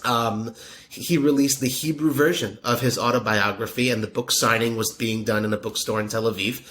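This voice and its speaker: A man's voice: medium pitch, steady tone, moderate pace. A man, a podcaster telling a friendly story in a casual interview, rambling with many fillers and restarts. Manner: warm, admiring storyteller. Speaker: podcaster and poker media guest.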